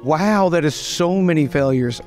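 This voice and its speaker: A man's voice: fairly high pitch, a little slow, darkish tone, soft background music. A man chatting casually as a player in a tabletop role-playing game, improvising his character's story.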